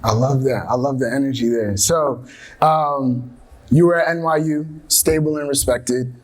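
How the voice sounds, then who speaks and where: A man with a husky, mid-pitched voice hosts a live interview. He talks conversationally and encouragingly, guiding a guest with questions. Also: a little slow, rough recording. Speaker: host, interviewer. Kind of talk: live interview before an audience.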